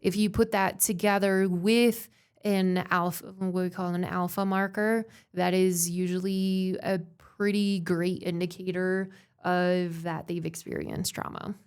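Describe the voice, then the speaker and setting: A woman's voice: lowish voice, flat delivery, a little slow, clear, resonant tone. A woman from Canada with a mixed German and Canadian accent, explaining brain mapping in an upbeat, practical way as a podcast guest. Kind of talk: casual interview answers.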